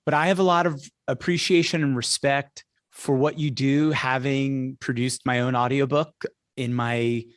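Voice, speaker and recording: A man's voice: mid-range voice, no room sound, studio quality. A man speaking conversationally as a podcast host, with the ease of a practised public speaker.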